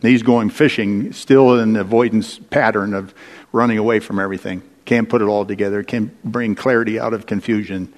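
A man preaching a sermon, retelling Bible stories in a deliberate, storytelling manner with dramatic pauses and rhetorical questions. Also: on the low side, fairly even intonation. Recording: echoey large hall with long reverb.

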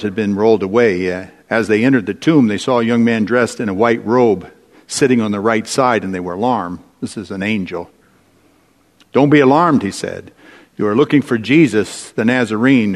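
A man preaching a sermon, retelling Bible stories in a deliberate, storytelling manner with dramatic pauses and rhetorical questions. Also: fairly low pitch. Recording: echoey large hall with long reverb.

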